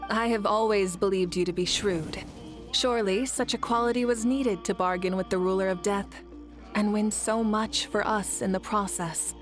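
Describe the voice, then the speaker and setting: A dignified warrior leader, composed and earnest, voiced by a woman. She speaks in a measured, formal way at a middling pitch, with faint game music in the background. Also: fairly even intonation, close to an everyday voice. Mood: admiring. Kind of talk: video game voice acting.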